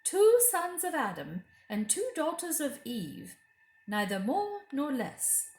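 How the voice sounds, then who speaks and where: A woman voicing a scheming, falsely sweet evil queen in a storybook reading, coaxing in a slow, regal manner. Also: high voice, very animated, a little mellow, pitched above the performer's own voice, full character voice. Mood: probing. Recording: roomy echo.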